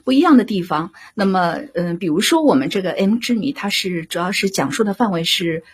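Very husky woman director in an interview, lively pitch, halting and searching for words. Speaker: radio drama director being interviewed. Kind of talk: unscripted interview talk.

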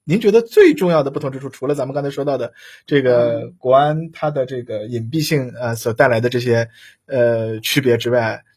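A man's voice: medium pitch, a little fast, a little mellow, husky. A man hosting a casual live discussion, putting questions to guests in a hesitant, rambling way. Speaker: host. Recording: live audio broadcast.

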